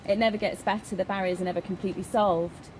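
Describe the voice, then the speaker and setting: Woman interpreting over clear background noise. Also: mid-range voice, fairly even intonation, quick pace, a little mellow. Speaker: interpreter voicing a Deaf signer. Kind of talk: live spoken interpretation of a personal statement.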